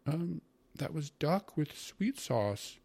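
A male narrator plays an anxious old mother in a storybook tale. She is meek and soft-spoken, with a lifted pitch and the air of someone long-suffering. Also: big pitch swings, slow pace, creaky, husky, no echo, full character voice.

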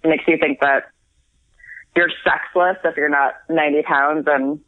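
A woman's voice: deep voice, fairly even intonation, unhurried, no echo. Giggly tipsy American woman on a phone line. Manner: giggly, rambling with many restarts and "like"s. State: a little tipsy. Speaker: podcast co-host calling in from abroad. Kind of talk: casual chat. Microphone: phone call.

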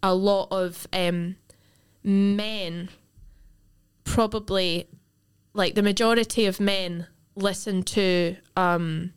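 A woman's voice: mid-range voice, steady tone, takes it slowly, clear, resonant tone. A young woman, co-hosting a casual podcast chat and talking loosely with fillers and the odd swear word. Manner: chatty, swearing, many fillers. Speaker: podcast host.

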